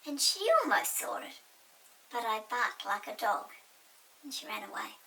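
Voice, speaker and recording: A woman voicing a small, cheeky pixie in a children's storybook, chatty and indignant, with a creaky, squeaky little voice. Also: measured pace, distant mic, full character voice, poor audio. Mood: animated, indignant. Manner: animated, teasing, protesting. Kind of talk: children's storybook character reading.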